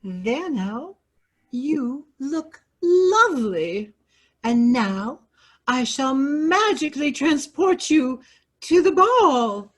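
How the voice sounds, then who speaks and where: Woman playing a high, stately fairy godmother. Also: animated, very slow, exaggerated voice. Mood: grandly benevolent. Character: gracious, grandly magical fairy godmother, kindly but firm. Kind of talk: staged reading of children's theater fairy tale.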